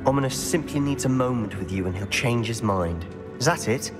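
A man's voice: medium pitch, animated, brisk, over loud music, natural voice. A man voicing a determined, loyal teenage wizard schoolboy with a British accent in a video game, earnest and well-spoken. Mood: suspicious.

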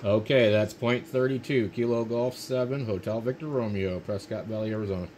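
A man's voice: on the low side, a little fast. A man with an American accent, chatting casually as an amateur radio operator at an indoor desk. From United States. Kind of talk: casual ham radio chat. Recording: faint radio hiss and room noise.

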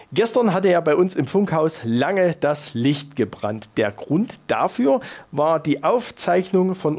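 A man's voice: on the high side, a little mellow. A man in his thirties presenting a regional TV programme, speaking scripted lines directly to viewers. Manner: clear presenter delivery addressed to viewers. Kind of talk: scripted TV moderation.

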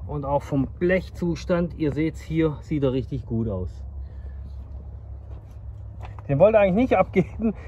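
A man's voice: on the high side, lively intonation, a little slow, long pauses, mellow, muffled recording, a little hiss or hum. A man in his thirties talks casually and eagerly to his viewers on a phone camera, outdoors at a junkyard and inside a car. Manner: chatty, enthusiastic, addresses viewers directly. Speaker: classic car dealer. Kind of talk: casual vlog commentary.

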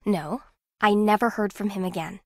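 A woman playing an aloof, competitive, secretive contestant in a video game, with a deadpan, clipped manner. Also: medium pitch, normal speed, no echo, studio quality, close to an everyday voice. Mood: cool, dismissive. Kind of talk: video game character acting.

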